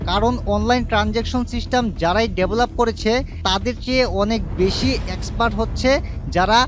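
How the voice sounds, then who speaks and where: A man's voice: high voice, brisk. A man reading a scripted news report as a reporter. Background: music bed.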